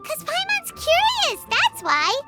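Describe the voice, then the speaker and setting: A woman's voice: huge pitch swings, slow, exaggerated voice. A woman voicing a small, chirpy, childlike fairy companion in a video game, curious and cheeky. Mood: curious, musing. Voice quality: squeaky, childlike. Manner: refers to self in third person, exclamatory. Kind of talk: cartoonish video game voice acting. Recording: music playing behind the voice.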